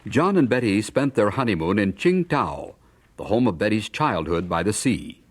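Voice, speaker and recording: A man's voice: on the low side, sing-song, normal speed. A man narrating a scripted audio drama as a storyteller.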